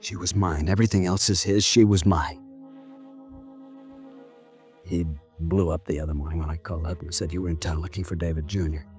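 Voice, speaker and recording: An actor playing a grieving, weary man confessing in a halting, brooding, husky voice, in 1950s radio drama style. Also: sits low, steady tone, darkish tone, soft background music, natural voice. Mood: mournful, confessing.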